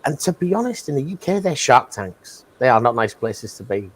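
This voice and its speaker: A man's voice: medium pitch, sing-song, fairly quick. A British man speaking as a livestream guest in casual chat, blunt but reflective as he reasons things through.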